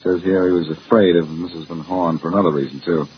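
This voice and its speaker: An actor playing a cocky, wisecracking amateur detective in 1940s radio style, glib, sarcastic and teasing. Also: male voice, deep voice, fairly even intonation, fast talker, close to an everyday voice. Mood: teasing, smug. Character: cocky, wisecracking amateur detective man, needling a police inspector. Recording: muffled, hissy old-time radio recording.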